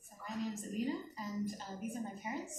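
A woman's voice: mid-range voice, lively intonation, fast, darkish tone. Woman introducing herself in echoey hall. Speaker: church visitor. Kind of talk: informal self-introduction. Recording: echoey hall, distant, faint noise.

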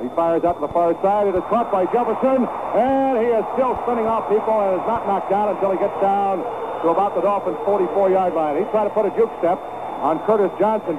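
High-pitched man calling football on noisy old radio. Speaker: radio play-by-play announcer for a football team. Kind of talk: live sports play-by-play commentary. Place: stadium broadcast booth. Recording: noisy 1970s AM radio broadcast, narrow band. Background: loud stadium noise.

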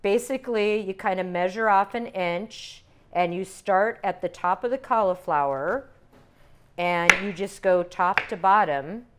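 An older woman calmly demonstrating recipes step by step as a cooking instructor in a teaching kitchen. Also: mid-range voice, measured pace, a little hiss or hum. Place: home-style teaching kitchen.

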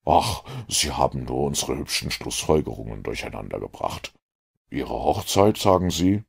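A man voicing a cool, shrewd gentleman detective in an audiobook, with a measured, dry, authoritative manner. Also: extremely low pitch, lively intonation, gravelly, breathy edge, voice pushed down, exaggerated voice.

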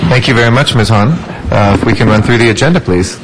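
Man in his thirties, lowish voice, council chair, desk mic, faint room hum. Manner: brisk procedural, relaxed with fillers. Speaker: council president. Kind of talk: chairing a public meeting. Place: large ornate council chamber. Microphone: desk microphone.

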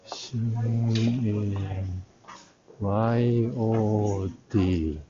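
A man's voice: on the low side, fairly even intonation, extremely slow, noisy background. A young man giving casual, offhand vlog commentary as he walks around a large indoor hall, recorded at arm's length. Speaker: vlogger. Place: large busy art fair hall, indoors. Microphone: wide-angle camera held at arm's length. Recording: dull lo-fi sound, busy crowd. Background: crowd chatter.

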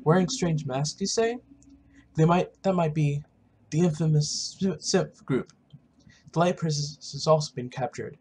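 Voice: mid-range voice, a little slow, darkish tone, slight background noise, natural voice. A gamer voicing an earnest, emphatic town merchant. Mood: grave, earnest.

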